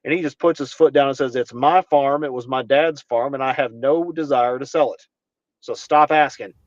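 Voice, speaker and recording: A man's voice: mid-range voice, moderate pace, no echo, dull audio. A young man from Kentucky talking earnestly as a podcast guest, often restarting his sentences as he rambles. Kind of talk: casual conversation.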